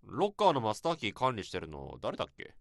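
An actor playing a blunt senior office worker who talks roughly and lectures his juniors, in a radio drama. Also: lowish voice, wildly swooping pitch, fast, dry recording, clean studio sound, full character voice. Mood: brusque. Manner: rough, bossy, lecturing speech. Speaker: senior coworker.

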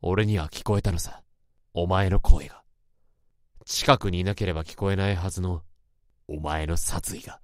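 A man's voice: low-pitched, steady tone, normal speed, long pauses, no echo, clean studio sound, lightly acted. An actor playing a tense office worker who narrates his own inner thoughts in a radio drama. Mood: cold. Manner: tense, brooding, rising to urgent shouts. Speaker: narrator and protagonist.

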